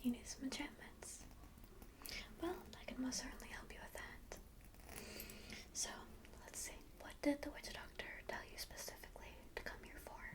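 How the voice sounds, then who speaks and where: Kindly kit spellcaster played by a woman, high-pitched and whispered, gentle, rambling, welcoming, for fantasy ASMR roleplay. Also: steady tone, normal speed, close to an everyday voice. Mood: musing, helpful. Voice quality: soft, breathy whisper. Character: kindly, chatty cat-like kit spellcaster, musical healer.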